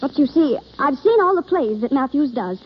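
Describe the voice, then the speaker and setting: A woman's voice: sits high, lively intonation, normal speed. An actress playing a shy, earnest young woman yearning for romance in 1930s radio drama style, confiding and hesitant. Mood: flustered, shy. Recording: scratchy, muffled old radio broadcast.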